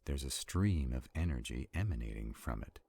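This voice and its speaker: A man playing an arrogant, stubborn vampire in a roleplay audio drama, with a deep, gravelly, husky voice and a haughty, deliberate delivery. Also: animated, dark tone, heavily acted. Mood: measured, explaining. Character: arrogant, stubborn male vampire, irritated and skeptical.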